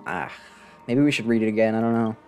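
Sarcastic young man with a relaxed voice. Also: lowish voice, quick pace. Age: young adult. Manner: sarcastic, unimpressed. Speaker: streamer. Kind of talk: casual game-streaming commentary. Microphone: close desk microphone. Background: game music.

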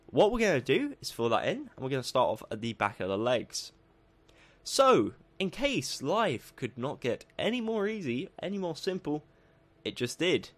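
A man with a croaky voice, narrating a building tutorial as a voice-over, upbeat and lively, slowing down as he lists steps. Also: on the high side. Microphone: voice-over over game footage.

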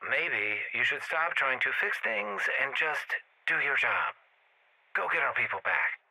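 A man's voice: mid-range voice, animated, unhurried, sharp tone, dry recording, exaggerated voice, low-quality recording. A man voicing a blunt, defiant video game civilian, pointed and insistent, with a sarcastic edge. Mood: sarcastic, stern. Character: blunt, defiant civilian pleading for a missing spouse.

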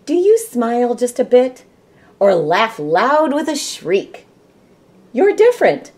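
Lively Midwestern woman reading storybooks. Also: fairly high pitch, slow. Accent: American Midwestern. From Michigan, United States. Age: middle-aged. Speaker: volunteer storybook reader. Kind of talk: children's read-aloud storytelling. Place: small room at home, indoors.

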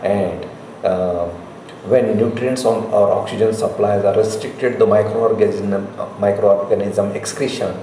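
A middle-aged man with an Indian accent giving a slide lecture as a college professor, speaking in halting, repetitive phrases. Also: on the low side, fairly even intonation, dark tone. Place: indoor room, recorded lecture. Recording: very echoey room, faint noise.

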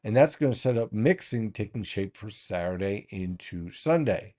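A man's voice: fairly low pitch, moderate pace. Man walking through a weather forecast. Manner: steady explanatory delivery, addresses viewers directly.

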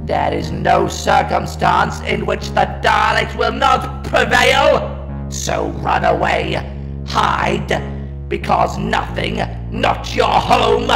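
High screechy robot alien tyrant, slow staccato orders, echoey with music behind. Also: male voice, lively intonation. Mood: contemptuous. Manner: staccato, drawn-out, commanding barks. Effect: harsh robotic ring-modulated filter. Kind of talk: sci-fi audio drama acting.

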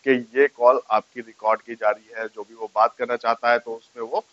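A man's voice: mid-range voice, steady tone, normal speed, fairly bright tone, no room sound, dull audio. A man gives a casual step-by-step tutorial to camera, explaining and repeating each step. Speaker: tech tutorial host. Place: small furnished room indoors, on a sofa. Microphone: lapel mic.

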